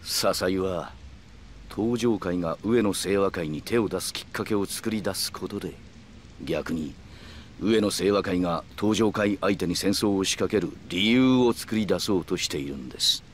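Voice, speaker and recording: Actor as a low-voiced, calculating yakuza man. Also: a little hiss or hum, lightly acted. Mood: cool, persuasive. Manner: polite, measured, formal explanatory speech. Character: calm, calculating middle-aged yakuza man in a suit. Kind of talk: Japanese video game cutscene acting.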